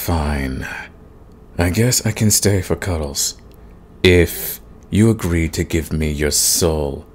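A man playing a big, grumpy but soft himbo demon in a romantic comedy audio roleplay, husky and stammering in protest. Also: low-pitched, slow pace, slight background noise. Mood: grudging surrender. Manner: grumbling, sighing, stammering protests. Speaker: voice actor.

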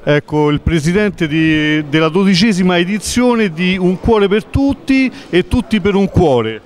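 Brisk Italian reporter man. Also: high voice, expressive, a little hiss or hum. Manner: brisk, announcing. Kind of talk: event interview. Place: large indoor lobby of a concert venue. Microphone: handheld mic.

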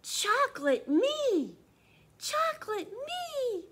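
A woman's voice: very high voice, big pitch swings, drawn-out words, a bit bright, pitched above the performer's own voice, full character voice. A woman voicing a self-conscious five-year-old boy in a children's storybook, with a plaintive, exclaiming manner. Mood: proud, excited.

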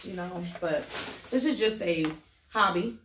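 A woman co-hosting a casual eating-show chat, easygoing, quick with asides and laughs. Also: fairly low pitch, far from the mic, background noise. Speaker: co-host. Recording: echoey room, a bit off mic.

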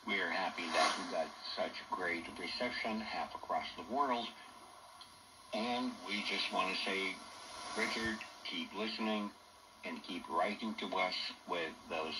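A man's voice: deep voice, unhurried. A man presenting a radio programme and reading listener reports, heard through a small shortwave radio. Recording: noisy shortwave broadcast off small speaker. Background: radio noise.

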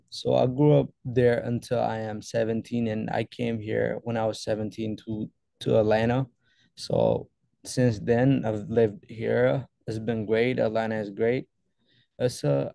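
A young man from Ethiopia, a guest on a video call interview, answering casually with many pauses and restarts. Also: mid-range voice, vocal fry, dark tone, dry recording. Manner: hesitant, many fillers and restarts. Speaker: guest photographer.

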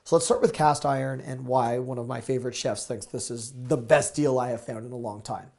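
Guy in his 30s, bit hoarse, hyping deals to camera, lapel mic, small studio. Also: medium pitch, a little fast. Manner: energetic, salesy, gesturing with products. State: a little hoarse. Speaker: deal show host. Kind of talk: upbeat product pitch to camera. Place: small studio with shelves of gadgets.

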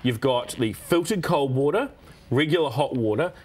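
A man's voice: medium pitch, animated, normal speed, darkish tone. A man in his forties with a New Zealand accent, chatting casually and with self-deprecating humour as he reviews a product in a TV studio. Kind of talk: casual TV demonstration chat. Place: TV studio at a desk.

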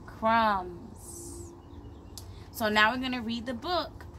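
A woman in her thirties reading a picture book aloud to young children as their teacher, in simple, deliberate phrases, recorded outdoors by a camera a short distance away. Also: on the high side, sharp tone. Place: outdoors, on a patio beside plants. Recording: clear outdoor background noise.

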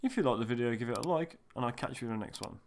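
A man's voice: mid-range voice, animated, racing, gravelly. A man hosting a commentary video, talking casually to his viewers.

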